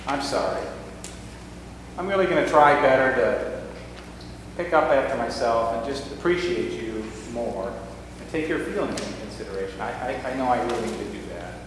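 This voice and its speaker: A man's voice: medium pitch, lively intonation, normal speed, noisy background, pitched below the performer's own voice, natural voice. A man playing a careless, defensive husband in a church skit, talking in an offhand, grumbling way. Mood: apologetic. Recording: echoey hall from far off.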